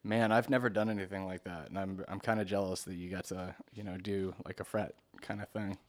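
Low-voiced man in casual chat. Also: fairly even intonation, a little fast. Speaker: conversation partner asking questions.

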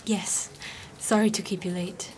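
An actress as a young Chinese woman new at the office in an audio drama. She is friendly and forward, with a medium-pitched voice over faint background ambience. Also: steady tone, unhurried, a little mellow, slight background noise, natural voice, rough recording. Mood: dutiful. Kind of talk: naturalistic audio drama acting.

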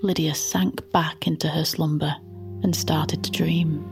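Slow, even, hushed female storyteller. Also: low-pitched, music in the background. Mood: calm, intimate. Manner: hushed, deliberate. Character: calm, measured female storyteller of an intimate drama. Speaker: narrator. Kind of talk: scripted audio drama narration.

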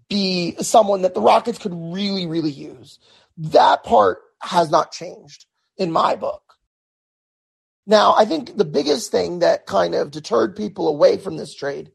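A man hosting a solo sports podcast, talking through his thoughts in a casual way with many restarts, his voice hoarse. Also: high-pitched. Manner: conversational, repeats words and restarts often. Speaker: podcast host.

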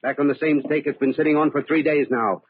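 A grim, commanding man played by an actor in a vintage radio thriller, bent on revenge. His voice sits a little high and speaks with deliberate menace, through a narrow, crackly, noisy old recording. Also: fairly even intonation, natural voice. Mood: grim, accusing. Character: grim vengeful bird scholar confronting his uncle's killer. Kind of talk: old-time radio drama acting.

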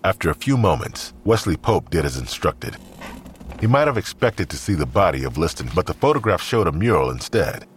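A man narrating an audio drama in a measured, scripted storytelling style. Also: deep voice, big pitch swings, a little hiss or hum.

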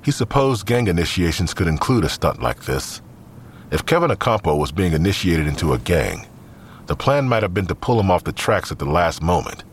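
A man's voice: low voice, big pitch swings, fairly quick, slight background noise. An actor serving as the serious male narrator of a police mystery audio drama. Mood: serious, narrating. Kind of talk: scripted crime story narration.

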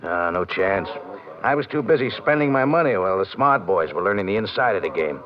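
Man acting a low-voiced weary aging ballplayer, old radio. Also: lively intonation, fairly quick, lightly acted. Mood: wistful. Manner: plainspoken, wistful. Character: weary aging ballplayer, proud father, resigned. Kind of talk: golden-age radio drama acting. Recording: muffled vintage radio recording.

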